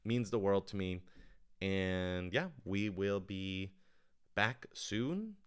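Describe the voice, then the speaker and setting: A man with a lowish, clear and resonant voice hosts an informal livestream Q&A. He talks casually to his audience as a writer-director, rambling a little and working out his thoughts out loud. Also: lively intonation, takes it slowly. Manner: rambling, thinking aloud.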